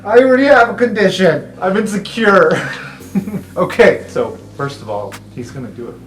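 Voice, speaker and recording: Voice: medium pitch, expressive, moderate pace. A tabletop roleplay player improvising casual in-character dialogue. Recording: echoey room, faint music behind.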